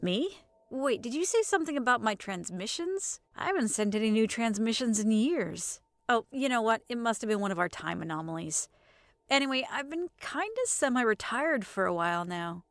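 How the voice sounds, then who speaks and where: A woman playing a wry, expressive semi-retired radio storyteller from an underground city in an audio drama. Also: a little high, normal speed, no room sound. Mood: wry, puzzled.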